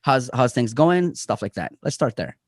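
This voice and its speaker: A man hosting a podcast, introducing his guests in a lively, loose way with many fillers. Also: medium pitch, moderate pace, no echo, studio quality. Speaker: podcast host. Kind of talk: casual interview hosting.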